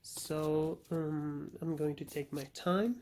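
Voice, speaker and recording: A man with a Spanish accent speaks as an oracle card reader addressing viewers. His delivery is flat in pitch and halting. He often searches for words, as Spanish is his first language. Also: a little hiss or hum. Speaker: tarot and oracle reader, channel host. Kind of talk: informal spoken introduction to an oracle card reading.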